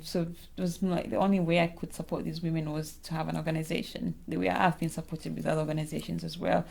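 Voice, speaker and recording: Woman in an interview, low flat voice with some fry, rambles and restarts a lot. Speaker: interviewee, founder of a women's network. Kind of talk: oral history interview.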